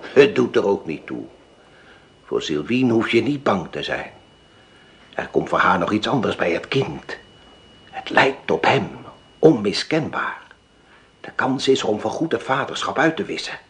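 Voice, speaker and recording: A sly old profiteer and father in a radio play. His voice is deep and he recounts things deliberately, with theatrical weight and calculation. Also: big pitch swings, fairly bright tone, heavily acted. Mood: grave, knowing. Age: older. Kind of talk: radio drama acting.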